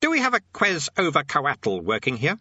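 Demon king, done by a male reader: curt, forceful, husky, exasperated boss of an audiobook underworld. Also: fairly high pitch, huge pitch swings, moderate pace, no echo, highs cut off, pitched above the performer's own voice, full character voice. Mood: impatient. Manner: curt, snapping.

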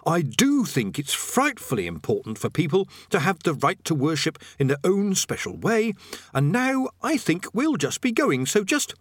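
A man's voice: fairly high pitch, huge pitch swings, moderate pace, breathy edge, dry recording, voice pushed up, full character voice. A nervous storybook adventurer, performed by a man, talks in a lifted, swooping voice. He is evasive and cowardly, forever making polite, awkward excuses.

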